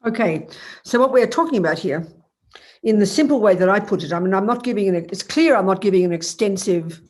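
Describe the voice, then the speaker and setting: A meditation teacher with a cold, sounding a bit croaky, explaining in a rambling, self-correcting way over a video call. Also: female voice, mid-range voice, expressive, a little fast, a little mellow.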